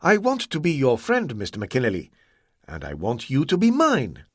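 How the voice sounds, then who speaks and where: A man voicing a suave, soft-spoken crime boss who threatens with quiet courtesy in an audiobook story. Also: medium pitch, huge pitch swings, moderate pace, dry recording, studio quality. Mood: coldly menacing. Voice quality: smooth, silky, quietly threatening.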